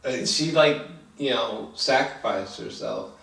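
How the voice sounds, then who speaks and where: A man chatting casually about a film, halting and hesitant, circling back and swearing casually. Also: medium pitch, creaky, a bit bright. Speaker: film review podcast co-host. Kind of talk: casual chat, film review. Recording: roomy echo, distant microphone.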